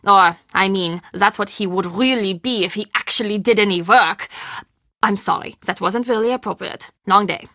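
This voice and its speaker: An actress playing a friendly, superstitious castle shopkeeper in a video game, confiding and expressive. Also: female voice, medium pitch, moderate pace, no echo, clean studio sound. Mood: exasperated, dry.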